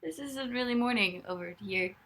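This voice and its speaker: Young woman, bright voice, rambling giggly live-stream chat from her bed. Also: a little high, normal speed. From Ireland. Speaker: livestreamer. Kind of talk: casual live-stream chat, reading comments. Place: bedroom, in bed.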